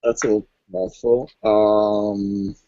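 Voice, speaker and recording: Slow-talking man, dry muffled sound. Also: fairly low pitch, flat delivery, mellow, no room sound. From Norway. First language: Norwegian. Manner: thoughtful, hesitant, many ums. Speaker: electronic music producer, guest. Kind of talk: casual interview chat.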